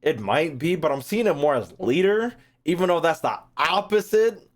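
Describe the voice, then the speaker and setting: A man's voice: fairly high pitch, animated, normal speed. A man streaming casually, thinking aloud in a rambling way and swearing freely as he chats. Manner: rambling, foul-mouthed.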